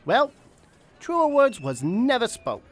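A woman's voice: a little high, very animated, slow pace, soft background music, exaggerated voice. A woman playing a breezy, streetwise businessman in a 1920s-style radio drama, slangy and wisecracking, friendly but guarded.

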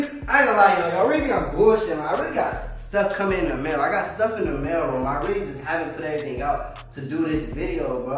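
A man's voice: fairly high pitch, distant mic. A man in his twenties with an American accent, giving a casual, slangy vlog tour and often repeating phrases for emphasis. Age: 20s. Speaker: vlogger giving an apartment tour. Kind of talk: casual vlog chat to viewers. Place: small empty apartment indoors. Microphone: handheld camera a few steps away. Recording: echoey empty room, off-mic, music behind.

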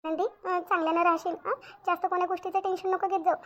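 A caring young village woman acted by a woman in a cartoon drama. Her voice is very high and quick, comforting and insistent. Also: flat delivery, a bit bright. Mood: tender reassurance. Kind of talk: amateur cartoon story dialogue.

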